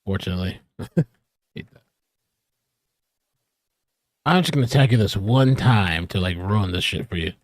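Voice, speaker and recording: A man's voice: deep voice, lively intonation, a little slow, long pauses, dry recording, clean studio sound. A man hosting a live reaction stream, chatting offhand and swearing casually.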